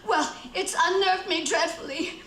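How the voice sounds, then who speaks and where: A woman's voice: extremely high pitch, a little slow, fairly bright tone, reverberant, a little hiss or hum. A woman playing a nervous, imperious bedridden wife in a radio thriller, agitated, stammering and insistent. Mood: frantic, nervous. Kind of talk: theatrical radio thriller acting.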